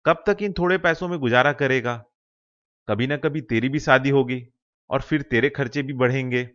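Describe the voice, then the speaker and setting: A man's voice: mid-range voice, normal speed, a bit bright, muffled recording, natural voice. A man playing an ambitious young village man in a cartoon story, persuading a friend in an earnest way. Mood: earnest, persuasive. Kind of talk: Hindi cartoon moral-story voice acting.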